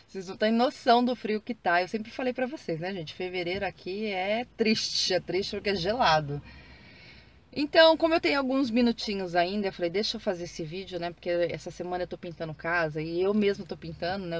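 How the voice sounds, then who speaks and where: A woman's voice: fairly low pitch, fairly quick, slight background noise. A woman in her thirties from Brazil, with a Brazilian Portuguese accent, talking casually to her viewers in a chatty way with many fillers and restarts, recorded in her car. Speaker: vlogger. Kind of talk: casual vlog talk to viewers. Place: car while driving.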